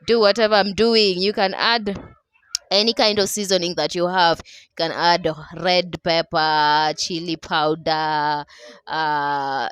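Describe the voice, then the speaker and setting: A woman's voice: mid-range voice, a bit bright, smooth. A young Kenyan woman whose first language is Dholuo, talking casually with a Kenyan accent as she narrates a cooking vlog over her own footage and keeps checking in with "okay?". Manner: chatty, laughing, keeps asking "okay?". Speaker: cooking vlogger. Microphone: voice-over mic.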